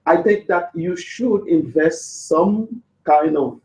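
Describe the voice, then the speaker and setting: A man from Haiti, talking in a halting way with many fillers and restarts. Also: on the high side, a little mellow. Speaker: panel participant. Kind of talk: hesitant conversational English. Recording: roomy, slightly dull audio.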